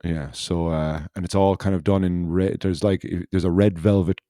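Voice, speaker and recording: A man with a deep, mellow voice, hosting a podcast in easy, casual chat. He wanders through his story and circles back on himself. The sound is dry and close, with no room around it. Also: steady tone, normal speed, dry recording. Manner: rambling, loose storytelling that circles back on itself. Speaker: podcast host.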